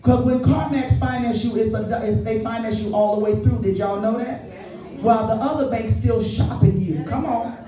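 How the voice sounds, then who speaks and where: A woman preacher delivers a testimonial sermon in a conversational storytelling manner, often appealing directly to her listeners. She is heard at a distance in a very echoey church space, with music clearly behind her. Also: on the high side, steady tone, moderate pace. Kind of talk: testimonial sermon with call and response. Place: large indoor church space.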